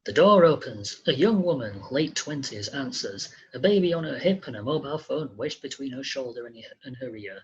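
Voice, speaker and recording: A man's voice: mid-range voice, expressive, normal speed, few pauses, lo-fi sound, a little hiss or hum. A man reading stage directions as scripted narration.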